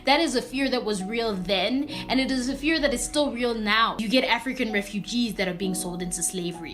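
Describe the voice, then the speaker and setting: A woman's voice: mid-range voice, a bit bright. Earnest woman explaining over music. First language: Kikongo. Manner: earnest, emphatic. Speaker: social media creator. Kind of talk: explanatory video monologue.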